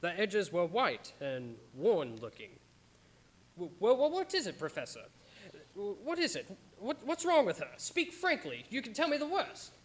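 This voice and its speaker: A devoted, anguished doctor of radio drama, played by a man. His voice sits a little high, and he tells his journal dramatically, in an old-time theatrical style. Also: huge pitch swings, normal speed, exaggerated voice. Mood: distraught. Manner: stammering when distressed, dramatic.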